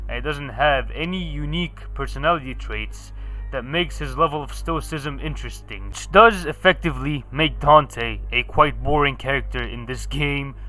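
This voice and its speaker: Bright, higher-pitched man narrating a game review. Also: poor audio. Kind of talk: scripted video review narration.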